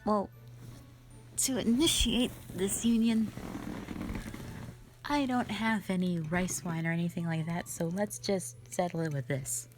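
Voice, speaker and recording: A woman's voice: on the low side, unhurried, pauses often, music in the background, close to an everyday voice. A woman playing a rambling, easygoing, flirty magic mentor in a fantasy roleplay audio drama, talking in a hesitant, offhand way full of asides. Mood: easygoing, inviting.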